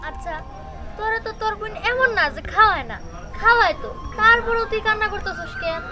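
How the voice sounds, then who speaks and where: A woman voices a helpful young village girl in a cartoon, with a tiny, extremely high voice. She chats and explains reassuringly over loud music. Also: fast. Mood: concerned. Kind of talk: Bengali cartoon dubbing.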